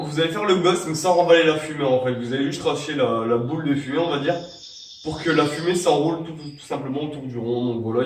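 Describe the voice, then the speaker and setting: A man's voice: a little high, a little mellow, a little hiss or hum. A young man with a cold, rambling through a casual how-to tutorial with many fillers and restarts. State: has a cold. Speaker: vape tricks tutorial host. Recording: echoey room, mic at a distance.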